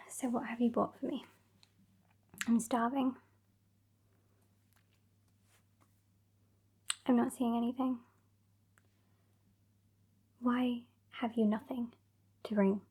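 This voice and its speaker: A woman acts out a haughty British vampire mistress for an ASMR roleplay. Her voice is high yet soft and breathy, mostly whispered. She draws out her words very slowly, with withering impatience and condescension. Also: flat delivery. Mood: hungry, demanding. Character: sassy, haughty, impatient female vampire scolding her weakling fledgling.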